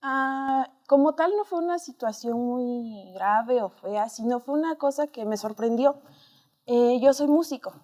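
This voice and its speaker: Mellow, higher-pitched Mexican woman. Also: unhurried. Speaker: interview guest, musician. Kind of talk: conversational personal storytelling.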